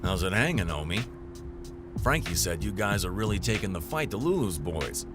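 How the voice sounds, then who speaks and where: Male street informant: casual, insinuating, very expressive delivery, crime radio play under loud music. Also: low-pitched, moderate pace. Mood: easygoing, griping. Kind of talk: audio drama acting.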